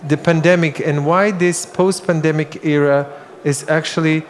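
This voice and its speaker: A man's voice: a little high, fairly even intonation, slight background noise. A man moderating a conference panel, talking with frequent restarts and fillers. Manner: halting, many restarts. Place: conference hall.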